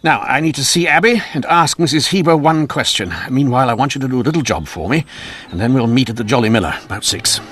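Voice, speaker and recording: An actor playing a shrewd, composed Victorian-era police inspector in a radio mystery, speaking in a measured, explanatory way. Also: male voice, medium pitch, fairly bright tone, close to an everyday voice. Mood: musing, confident. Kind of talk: old-time radio mystery drama acting.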